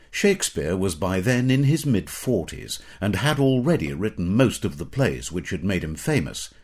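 A middle-aged British man reading scripted educational narration in a measured, formal, literary manner. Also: fairly low pitch, a little mellow.

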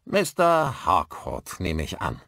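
A man acts out a hearty village pub landlord for an audiobook. The voice is jovial and welcoming, swinging widely in pitch, and sounds close and dry. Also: huge pitch swings, slow, gravelly, a bit bright, no room sound, full character voice. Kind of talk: audiobook character dialogue.

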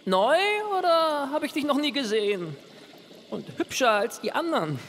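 An actor playing a lovesick, smitten devil in a radio play. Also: male voice, very high-pitched, big pitch swings, moderate pace, fairly bright tone, heavily acted. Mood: flirting, chatty. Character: lovesick devil with three horns, plaintive and smitten. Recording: long reverb, background noise.

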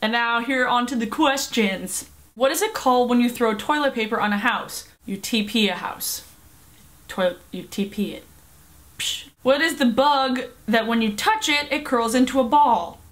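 A woman's voice: a little high, a bit bright. A young woman from the Seattle area, chatting casually to camera in a rambling way with fillers. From Pacific Northwest, Seattle area. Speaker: vlogger. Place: small cluttered bedroom, indoors. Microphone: camera at close range.

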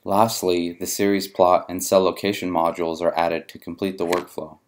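Male narrator, low register, measured and methodical, for a scripted software demo. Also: flat delivery. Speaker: software demonstrator. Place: voice-over for a screen recording.

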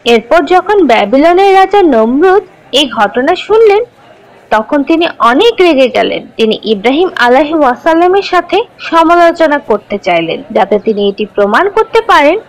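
A woman narrating a scripted children's story in an expressive, teacherly way. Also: sits high, a little slow, poor audio. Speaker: narrator of a children's religious cartoon.

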